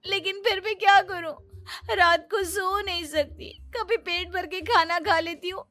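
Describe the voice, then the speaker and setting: A woman voicing a distressed, pleading young pregnant daughter-in-law in a cartoon story, whiny and drawn-out. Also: very high voice, fairly even intonation, pushed and bright, full character voice. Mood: tearful complaining. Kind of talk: Hindi animated moral story acting.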